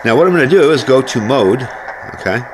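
A man's voice: mid-range voice, lively intonation, a little fast, noisy background. A man giving a casual hands-on gear review as host, explaining things informally, step by step.

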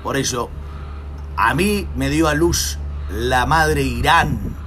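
A man's voice: medium pitch, animated, slow, background noise. A man in his forties with an Argentine Spanish accent, a pastor chatting on a phone livestream, jokey and exclamatory, tagging lines with "eh". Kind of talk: casual livestream chat. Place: outdoor terrace in hot sun. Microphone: phone at arm's length.

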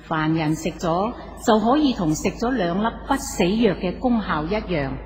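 An actress playing a coaxing, insistent inner voice of temptation in a radio drama, in cavernous reverb. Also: female voice, mid-range voice, animated, moderate pace, a little mellow, lightly acted. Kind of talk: Cantonese radio drama acting. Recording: long cavernous reverb over faint music.

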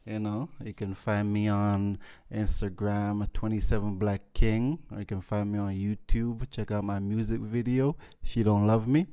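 A man's voice: fairly low pitch, level tone, measured pace, few pauses, mellow, clear, resonant tone. A young man from Jamaica with a Jamaican accent, chatting casually as a guest musician on a podcast, peppering his talk with "you know". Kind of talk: casual interview. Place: living room with mirrored wall decor. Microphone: studio mic on boom arm.

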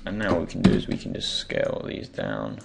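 A man with a croaky, just-woken voice gives an unscripted software tutorial, hesitantly thinking aloud as he goes. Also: deep voice, sing-song, fairly quick. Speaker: tutorial presenter. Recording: faint background noise, keyboard and mouse clicks.

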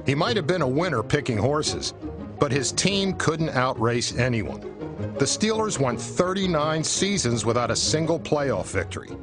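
A man's voice: a little high, big pitch swings, normal speed. A man with an American accent reading scripted documentary narration. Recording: music behind the voice. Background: background music.

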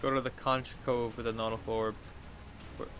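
A man's voice: mid-range voice, little pitch movement, normal speed, a bit bright, soft background music. A man in his thirties giving casual, rambling game commentary, talking to himself and reading quest text aloud. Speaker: gaming video host. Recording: clear background noise, game ambience.

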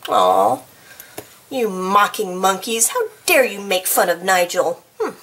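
A woman reading a children's picture book aloud in an expressive, playful way, with casual, chatty asides. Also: mid-range voice, takes it slowly, gravelly, husky. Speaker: storybook reader.

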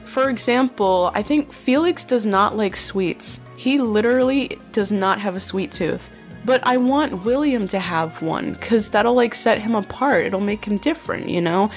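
A woman's voice: medium pitch, measured pace, faint music behind. A young woman chatting casually while answering fan questions, filling her talk with "like" and "bro", her voice slightly nasal. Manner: chatty, many fillers like "like" and "bro". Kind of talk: casual chat.

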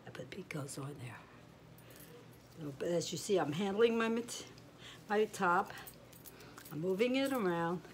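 A middle-aged woman with a notably low, nasal voice that sounds a bit stuffy. She rambles casually and warmly through a home tutorial, trailing off with fillers. The camera mic sits back in a room with a faint background hiss. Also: expressive, measured pace, pauses often. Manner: chatty, meandering, trails off with fillers. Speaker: crochet vlogger.

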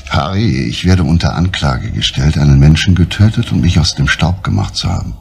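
A stern middle-aged police inspector speaks in a deep, husky voice. He stays calm and deliberate under pressure, in the manner of a 1970s crime show. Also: heavily acted. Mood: grave. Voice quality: low, husky, measured. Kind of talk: 1970s TV crime drama dialogue.

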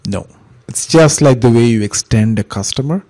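A man giving an informal step-by-step software tutorial in a conversational, explanatory way, with a gravelly, husky voice. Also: medium pitch, expressive, normal speed. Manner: explanatory, conversational, uses examples. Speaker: software trainer, instructor.

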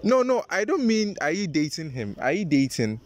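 A young man from Ghana works a handheld mic outdoors on a university campus, firing questions at students and teasing them. His voice sits a bit high and swings all over as he jokes and laughs between questions. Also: moderate pace, very noisy. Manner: teasing, laughs often, animated. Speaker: street interviewer. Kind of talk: playful campus vox pop.

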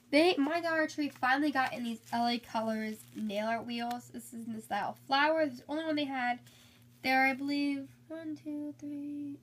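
Young woman, upbeat haul chat, slight congestion, higher pitch, faint hiss behind. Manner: chatty, upbeat, with frequent fillers. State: recovering from a cold, slightly congested. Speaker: haul vlogger. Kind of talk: casual haul video chat. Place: indoors at home, filming items on a bed.

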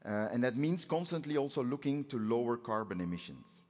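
A man in his forties giving a measured, explanatory keynote speech at a conference, as the president of an organisation. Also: mid-range voice, very animated, darkish tone. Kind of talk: prepared conference speech with slides. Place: on stage in a large conference auditorium. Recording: reverberant large hall sound.

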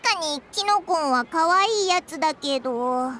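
Super high squeaky fairy mascot, anime game, cheeky n childish, kinda blunt. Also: female voice, animated, measured pace, pushed and bright, a little hiss or hum, heavily acted. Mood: chatty, considering. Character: tiny childlike fairy companion, cheeky, naive, boyish-talking. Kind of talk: Japanese anime game voice acting.

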